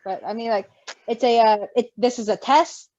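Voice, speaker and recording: A woman reading fan fiction and chatting casually, with a giggly, expressive manner. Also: a little high, normal speed, lo-fi sound. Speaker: story reader and co-reactor.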